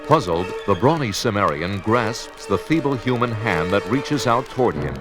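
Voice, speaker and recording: A man's voice: fairly low pitch, big pitch swings, moderate pace, loud music behind the voice. A man performing as the storyteller of a heroic fantasy audio drama, with emphatic, theatrical scripted narration. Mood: intense.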